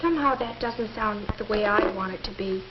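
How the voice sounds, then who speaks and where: A woman's voice: mid-range voice, normal speed, lightly acted. A young American woman playing a questioning, hesitant, independent-minded teenage girl in a 1950s educational film. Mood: troubled, questioning. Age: young adult. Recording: dull, noisy old film soundtrack.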